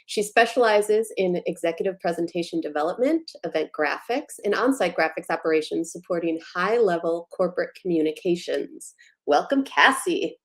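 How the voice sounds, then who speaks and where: A woman guest co-host reading out a bio in a measured way. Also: on the low side, expressive. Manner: measured, reads an introduction.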